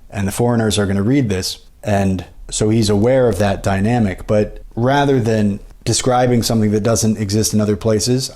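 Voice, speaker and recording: An American man hosting a podcast, giving a measured, analytical literary commentary in an explanatory way. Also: on the low side, darkish tone. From United States. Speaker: podcast host.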